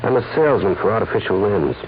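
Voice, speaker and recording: A man's voice: lowish voice, sing-song, quick pace. An actor playing a boastful, glib gunman who passes as a sweet talker, in 1940s radio crime drama style. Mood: smooth, boastful. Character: cocky, vicious gunman posing as a smooth-talking sweetheart. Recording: noisy, tinny 1940s radio recording.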